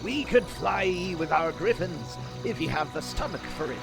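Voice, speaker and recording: A man's voice: on the high side, lively intonation, moderate pace. A man voicing a taunting griffin rider with rustic, old-fashioned speech in a fantasy game cutscene. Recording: voice under clear music.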